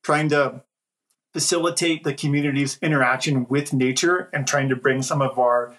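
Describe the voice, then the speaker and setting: A man's voice: mid-range voice, steady tone, normal speed, a bit bright. A man speaking as a guest editor on a podcast, talking in a thoughtful, loose, conversational way. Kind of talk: casual discussion.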